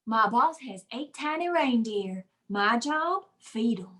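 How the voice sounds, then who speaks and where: A woman's voice: fairly high pitch, lively intonation, slow, pushed and bright, exaggerated voice. A woman voicing a folksy, chatty little male Christmas brownie with a Southern US accent, in old radio comedy style. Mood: folksy, obliging. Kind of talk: 1950s radio comedy acting.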